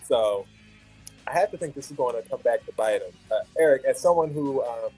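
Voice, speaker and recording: A man's voice: medium pitch, expressive, fairly quick, a little mellow, slightly rough, soft background music, poor audio. A man hosting an opinionated panel debate, blunt and needling in the way he talks. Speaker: host of a hip-hop debate show.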